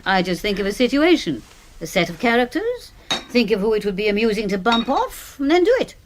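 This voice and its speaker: A wry, high-voiced British spinster. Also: female voice, very animated, fairly quick, slight background noise. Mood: wry, playful. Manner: dry, ironic, articulate. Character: wry spinster sister, doctor and crime novelist, self-mocking. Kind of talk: radio drama acting.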